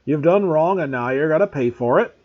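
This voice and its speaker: A man voicing a huge rough-spoken male gamekeeper, loud and growling with rustic, dropped-letter speech in a husky tone, on a dull lo-fi recording. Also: a little high, expressive, moderate pace, pitched above the performer's own voice. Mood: fierce.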